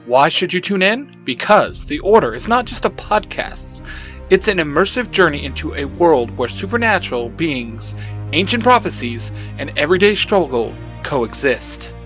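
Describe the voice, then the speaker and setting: A man's voice: on the high side, fairly bright tone. A man speaking as a host in an announcer-like way, addressing listeners directly in scripted intros and promos. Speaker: host and podcaster. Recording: music behind the voice. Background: background music.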